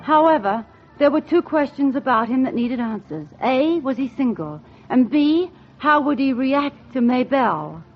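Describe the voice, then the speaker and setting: Wry lovelorn holidaymaker, high voice. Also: female voice, animated, normal speed. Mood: dry, wistful. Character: wry, lovelorn single woman on holiday, narrating her hopes. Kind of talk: 1940s radio drama narration and acting. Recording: muffled 1940s radio recording with music.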